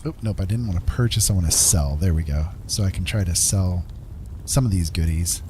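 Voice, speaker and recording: Low-voiced middle-aged man chatting while gaming. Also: brisk, darkish tone, a little hiss or hum. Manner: friendly, musing, polite. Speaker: game livestreamer. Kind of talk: casual chat while gaming.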